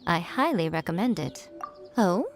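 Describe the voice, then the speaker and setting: Poised, teasing shrine priestess. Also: female voice, fairly low pitch, big pitch swings, unhurried, creaky, exaggerated voice. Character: playful, composed shrine priestess, teasing and unbothered. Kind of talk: video game voice acting. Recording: faint music behind.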